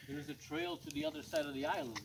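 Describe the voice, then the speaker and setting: Joking man chatting outdoors, slightly high voice. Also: wildly swooping pitch, fast, creaky, heavy background noise. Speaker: sailing friend on the hike. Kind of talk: casual chat.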